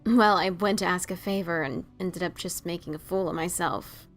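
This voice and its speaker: A woman's voice: fairly low pitch, fairly even intonation, moderate pace, faint music behind. A woman playing a brash but self-doubting pegasus pony adventurer, with hesitant, stammering, casual delivery in an audio drama. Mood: rueful. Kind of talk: audio drama dialogue of fan fiction.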